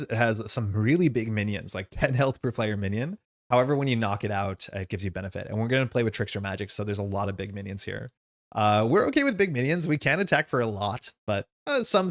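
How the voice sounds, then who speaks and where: A man's voice: fairly low pitch, lively intonation, a little fast, dry recording, studio quality. A man giving casual live stream commentary as he plays a card game, rambling and thinking aloud. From Canada.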